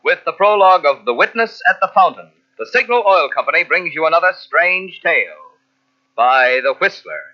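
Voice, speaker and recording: A man working as an announcer, delivering a polished, rhetorical sponsor pitch in 1940s radio style. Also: lively intonation, normal speed, soft background music. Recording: muffled old radio tape.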